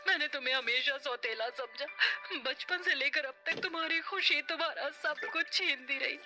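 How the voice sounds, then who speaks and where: A woman's voice: high voice, pitched above the performer's own voice, lightly acted. A woman voicing an emotional young wife who pleads and apologises in a melodramatic cartoon story. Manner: emotional, imploring. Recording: music bed under the voice.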